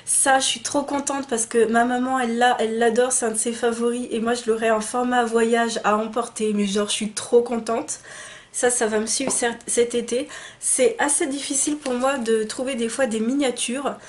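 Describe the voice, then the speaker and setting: A young woman with a stuffy, blocked nose, chatting casually and excitedly as she unboxes beauty products. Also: a little high, normal speed. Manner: chatty and enthusiastic, many fillers and restarts. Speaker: beauty vlogger. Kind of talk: casual unboxing chat to camera.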